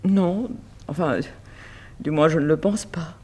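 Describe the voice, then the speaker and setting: A woman's voice: low voice, slow, a little mellow, slightly rough, heavily acted. An actress playing a bereaved middle-aged mother who runs a fashion house, formal and sorrowful in 1960s French radio mystery style. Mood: nostalgic, sad.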